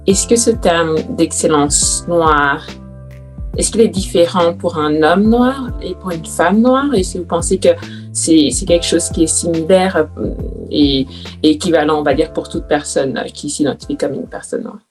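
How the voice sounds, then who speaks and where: A woman hosting a podcast panel, asking her questions in a measured, drawn-out way. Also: low voice, faint music behind. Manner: measured, asks questions at length. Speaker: podcast host. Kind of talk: moderating a panel discussion.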